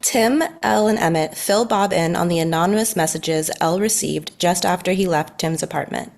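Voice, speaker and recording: A woman's voice: on the low side, moderate pace, gravelly, a little mellow. A woman reading scripted narration at a table read of a story.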